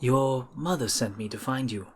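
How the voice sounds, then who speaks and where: A man's voice: medium pitch, moderate pace, slight background noise, close to an everyday voice. A man reading the part of a self-assured adventurer on a search in an amateur dramatic storybook reading.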